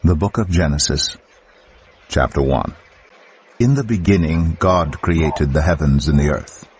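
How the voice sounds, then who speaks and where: A man reading scripture aloud in English in a solemn, measured way, played back from an app. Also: very deep voice, darkish tone, husky. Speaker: audio Bible reader.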